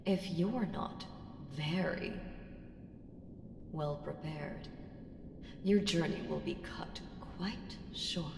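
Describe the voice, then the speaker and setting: A woman voicing an ancient, haughty evil entity in a slow ASMR audio roleplay, deliberate and teasing, slipping into breathy whispers. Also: low-pitched, full character voice. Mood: condescending warning. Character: ancient evil female entity, powerful, haughty, coolly menacing patron. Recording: echoing long hall reverb, ambient hiss.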